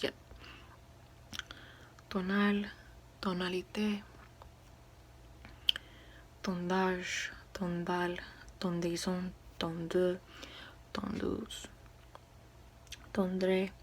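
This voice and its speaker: A woman doing ASMR in a soft, breathy whisper, sounding out words one at a time. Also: lowish voice, a little hiss or hum. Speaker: ASMR creator reading a French dictionary. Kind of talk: ASMR whispered word-by-word reading.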